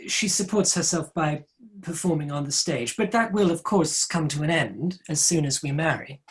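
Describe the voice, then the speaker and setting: A man acting an earnest, defiant young Victorian gentleman in a dramatic play reading. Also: on the high side, moderate pace, lightly acted. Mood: earnest, lovestruck.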